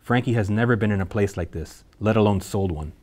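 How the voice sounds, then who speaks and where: A man with a fairly low voice narrates a film script. He reads scene headings and action lines aloud in the present tense, as the stage-directions reader at a table read. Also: brisk, darkish tone.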